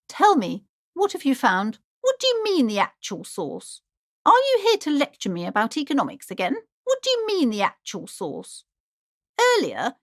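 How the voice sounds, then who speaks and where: An actress playing an anxious, prim, superstitious middle-aged bookshop owner in a video game, fussy and theatrically earnest. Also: female voice, sits high, fairly bright tone. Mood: uneasy, wary. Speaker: shopkeeper. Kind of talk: video game character dialogue.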